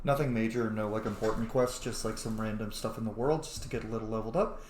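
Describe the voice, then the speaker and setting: A man's voice: fairly low pitch, quick pace, darkish tone. A man hosting a gaming series with casual, informal commentary and rambling asides. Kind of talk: casual let's-play commentary. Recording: echoey room, faint noise.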